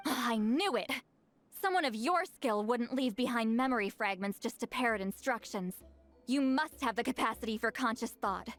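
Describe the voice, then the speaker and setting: Actress as feisty fantasy game heroine, bright-pitched, high, commanding, soft background music. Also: female voice, moderate pace, no echo, close to an everyday voice. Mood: assertive. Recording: faint game music behind.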